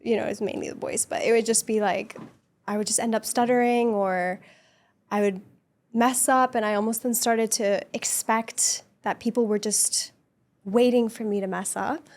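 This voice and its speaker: Soft gentle woman on a podcast, kinda high voice, earnest and chatty. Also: fairly even intonation, moderate pace. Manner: earnest, reflective chat with frequent laughs. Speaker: podcast guest. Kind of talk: casual podcast conversation.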